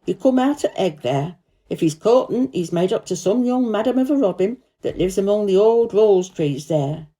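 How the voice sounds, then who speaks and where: A woman's voice: mid-range voice, expressive, normal speed, mellow, natural voice. Woman acting a surly old Yorkshire gardener man. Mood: gruff. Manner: blunt, gruff dialect speech.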